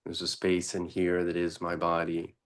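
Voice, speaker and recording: A man teaching meditation in an unscripted, deliberate way, pausing often between short phrases. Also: deep voice, completely flat delivery.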